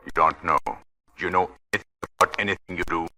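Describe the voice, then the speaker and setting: Cruel imperious husband, very deep voice, hard forceful edge, taunting, old radio melodrama. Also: male voice, quick pace, heavily acted. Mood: cold, taunting. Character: cold, menacing, domineering husband tormenting his wife. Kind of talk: 1940s radio drama acting. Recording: old radio broadcast recording.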